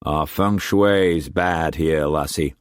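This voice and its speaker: A man doing a gruff taipan. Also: very deep voice, slow, no room sound, clean studio sound. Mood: calm. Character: commanding Scottish trading taipan, middle-aged.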